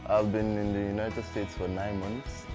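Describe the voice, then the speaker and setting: A man from Nigeria answers questions about life abroad as a student. His voice sits low and relaxed, with small pauses and fillers. Music plays quietly behind him. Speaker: international student. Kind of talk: interview answers.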